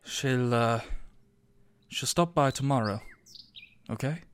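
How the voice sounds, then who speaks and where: An actor playing a pompous, insecure nerdy scientist in a science fiction audio drama. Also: male voice, medium pitch, slow pace, noisy background, lightly acted. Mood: soft, lonely. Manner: pompous, self-doubting. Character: conceited, insecure nerdy scientist on a space crew.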